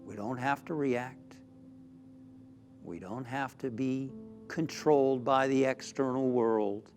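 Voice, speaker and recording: A man, a minister, leading a spiritual guided meditation in a soothing, deliberate way with frequent pauses. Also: mid-range voice, steady tone, a little mellow. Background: background music.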